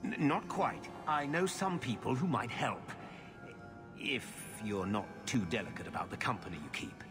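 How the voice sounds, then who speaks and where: An actor playing a shifty, weary middle-aged uncle in a video game, gruff and evasive. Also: male voice, medium pitch, big pitch swings, normal speed, natural voice. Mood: grudging, persuasive. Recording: music playing behind the voice.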